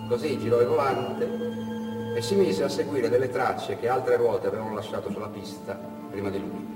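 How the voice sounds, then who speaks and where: A man giving a dramatic theatrical narration of a story, deliberate and intense, in short measured phrases. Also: medium pitch, steady tone. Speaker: stage actor and storyteller. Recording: echoey hall, distant mic, music behind.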